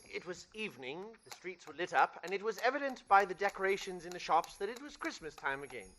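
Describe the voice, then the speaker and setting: An actor narrating a Christmas tale as an earnest storyteller in a radio play. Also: male voice, sits high, very animated, fast talker, sharp tone, slight background noise, full character voice. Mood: warm storytelling. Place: theater stage.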